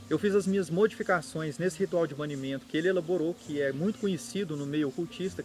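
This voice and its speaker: A Brazilian man hosting a channel, explaining at an unhurried pace and thinking aloud with small restarts. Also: fairly high pitch. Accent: Brazilian Portuguese. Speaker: occult channel host. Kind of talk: explanatory monologue.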